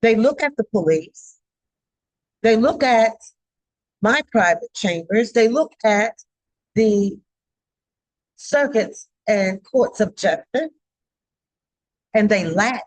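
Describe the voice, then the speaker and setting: A woman delivering a formal scripted public address in a stern, solemn, emphatic manner, with halting phrasing. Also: a little high, lively intonation, dry recording, low-quality recording. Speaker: judge giving a public address.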